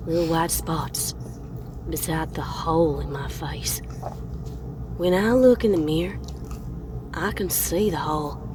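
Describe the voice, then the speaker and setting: A woman playing a disfigured pony in a slow horror monologue, haunted and obsessive, breathy and often dropping to a whisper. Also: fairly low pitch, background noise, lightly acted. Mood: haunted, brooding. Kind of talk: slow horror monologue, scripted dramatic reading.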